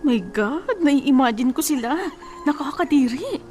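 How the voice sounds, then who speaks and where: An actress playing a blunt, bossy female cousin in a radio drama, teasing and scolding with a sharp tongue. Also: sits high, lively intonation, unhurried.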